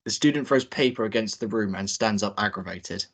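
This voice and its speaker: A man reading out the stage directions at a table read in a plain, steady way. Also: on the low side, fairly quick.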